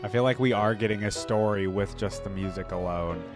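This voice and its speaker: A man's voice: on the low side, fairly quick. A man giving casual reaction commentary as a host, informal and thinking aloud in questions and exclamations. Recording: music playing behind the voice. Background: instrumental theme music with piano and choir.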